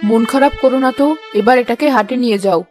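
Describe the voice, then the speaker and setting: A woman's voice: on the high side, fast talker, over music, lightly acted. A woman playing a practical, fretful old village wife and mother in a cartoon fairy tale.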